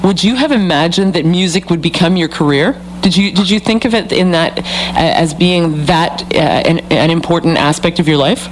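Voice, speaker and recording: A radio host interviewing a musician, effusive and quick to exclaim. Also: mid-range voice. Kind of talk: casual interview. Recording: noisy with hum.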